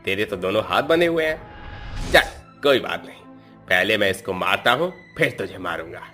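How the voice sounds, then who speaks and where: A man voicing a menacing, gloating village gangster in an animated horror story, taunting in a drawn-out way. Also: on the low side. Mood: mocking menace. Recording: music behind the voice.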